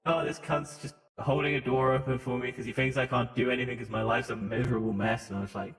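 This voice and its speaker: A man's voice: mid-range voice, level tone, quick pace, soft background music. A man with a British accent leaning American, joking and swearing in casual podcast banter through a voice modulator. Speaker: podcast co-host. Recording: echoey, long reverb on voice.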